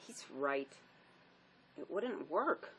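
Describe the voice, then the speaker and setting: Jaded girl on the run, played by an actress: deep female voice, sardonic, resigned, noisy recording. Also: very animated, very slow, a bit bright, heavily acted. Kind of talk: dramatic script reading. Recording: clear background noise, room ambience.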